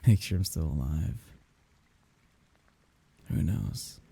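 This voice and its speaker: Male actor as bereaved lover, low-pitched, very breathy and husky, tender but wry, intimate ASMR roleplay delivery. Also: animated, mellow, heavily acted. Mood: wry, subdued. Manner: wry, tender, halting, half-murmured. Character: grieving man bidding farewell to dead lover.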